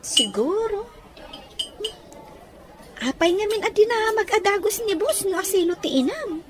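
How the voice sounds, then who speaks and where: A woman's voice: very high voice, big pitch swings, measured pace, noisy background, heavily acted. A woman playing a curious young woman in an audio drama, with an eager, questioning manner. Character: curious, inquisitive young woman fishing for information. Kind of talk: amateur audio drama acting.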